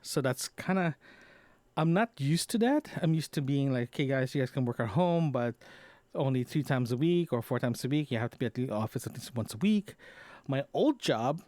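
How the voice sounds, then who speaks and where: A man's voice: medium pitch, expressive, normal speed, a little mellow, no room sound. A man recording a casual audio diary, talking in a rambling, conversational way and often correcting himself as he goes. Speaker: podcast host.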